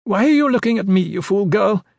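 A man voicing a shrill, scolding old woman. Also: animated, brisk, creaky, darkish tone, no room sound, clean studio sound. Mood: scornful. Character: sharp-tongued old woman magister, imperious.

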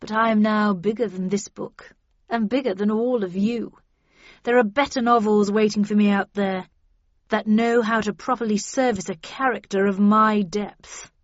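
A woman's voice: medium pitch, steady tone, moderate pace, dry recording, lightly acted. An arrogant, sardonic male villain full of himself , as acted out by a woman narrator, declaims with theatrical pomp. The audiobook recording is dry and slightly dull. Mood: sardonic, scornful. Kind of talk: audiobook character dialogue. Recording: dull, band-limited audio.

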